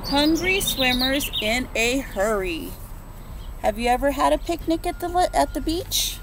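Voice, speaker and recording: A preschool teacher in her forties with a high voice reads a rhyming picture book at an unhurried pace. She pauses to ask the children gentle questions. She was recorded close to a phone on an outdoor porch, with faint birdsong behind her. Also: lively intonation, background noise. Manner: slow rhythmic rhyme reading with gentle questions to children. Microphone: phone or laptop camera at close range.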